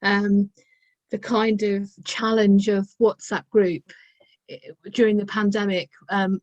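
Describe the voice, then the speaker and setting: Slightly croaky woman, recorded dry. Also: medium pitch, flat delivery, slow pace, a little mellow, dry recording, muffled recording. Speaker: university lecturer. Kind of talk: explanatory contribution to a group discussion. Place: online video call.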